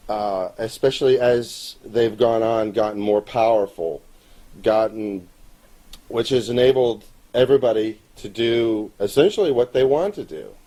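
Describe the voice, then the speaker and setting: A middle-aged man giving an earnest, measured, plain-spoken personal account in an interview. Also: medium pitch, dark tone, low-quality recording. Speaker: amateur animator.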